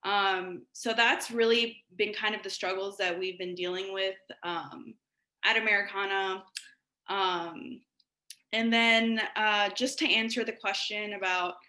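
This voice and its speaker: A woman from Ecuador speaking as a panelist in an online panel discussion, earnest and full of fillers and pauses. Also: medium pitch, steady tone, sharp tone, reverberant.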